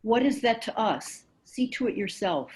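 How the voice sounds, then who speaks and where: A woman's voice: a little high, lively intonation, normal speed, darkish tone, husky. A woman voicing stern priests and a demanding crowd in an amateur dramatic scripture reading, declaiming over a video call. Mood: cold, dismissive. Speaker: amateur church reader. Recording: noisy video call with clicks.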